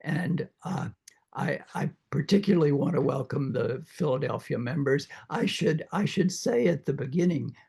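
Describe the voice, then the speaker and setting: An older man with a croaky voice after throat surgery, lecturing informally to a reading group and pausing often with many uhs and restarts. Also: on the high side, expressive, darkish tone. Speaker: literature professor leading a reading group.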